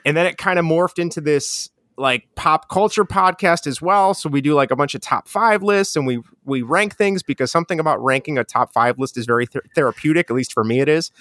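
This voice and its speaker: A man with a strong Chicago accent, talking as a guest in a relaxed, rambling way with many restarts. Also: a little high, brisk, hardly any pauses, no room sound, clean studio sound. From Chicago area. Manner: mellow, rambling with frequent restarts. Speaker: guest, educator and podcaster. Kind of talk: casual conversational interview.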